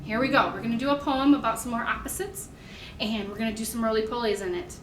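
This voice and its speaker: A high-pitched American woman speaks in an echoey room with faint background noise. She leads toddler storytime, addressing small children in simple, encouraging phrases and questions. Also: fairly even intonation, quick pace, far from the mic. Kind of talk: picture-book reading and sing-along for toddlers.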